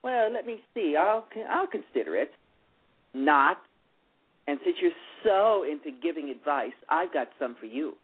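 A sneering, self-righteous church girl, as a man puts her on in a raised, nasal pitch. She lectures with a valley-girl "like" and a mocking edge. The sound is thin and tinny, like a phone line. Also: animated, unhurried, husky. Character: snide, self-righteous religious fanatic girl.

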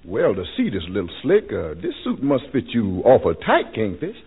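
An actor playing a shrewd, wary male pawnbroker with a husky voice, in 1940s radio comedy style. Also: on the low side, wildly swooping pitch, moderate pace, heavily acted. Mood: wary, businesslike. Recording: narrow, tinny vintage radio recording.

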